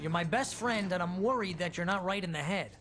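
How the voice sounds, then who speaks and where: An actor playing a nervous, sarcastic American best friend and agent in a video game cutscene. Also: male voice, high voice, a little fast, a bit bright, faint music behind. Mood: worried. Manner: sarcastic, fussing, exasperated.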